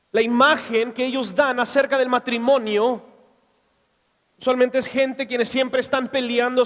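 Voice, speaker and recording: A middle-aged pastor who grew up in Mexico, preaching at a church conference in an earnest, measured way. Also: male voice, very high voice. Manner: earnest, measured delivery with pauses. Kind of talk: conference sermon. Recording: echoey hall with long reverb.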